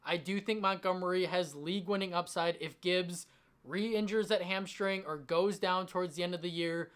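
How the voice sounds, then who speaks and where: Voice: medium pitch, steady tone, moderate pace, a bit bright, smooth. A fantasy football host giving advice straight to the audience, emphatic and enthusiastic, with a voice slightly strained from long talking. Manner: emphatic, enthusiastic about the picks. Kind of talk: direct-to-audience sports advice commentary.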